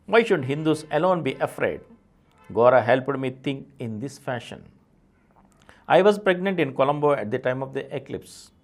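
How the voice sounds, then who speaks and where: Indian-accented man reading a memoir. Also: medium pitch. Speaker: audiobook reader, channel presenter.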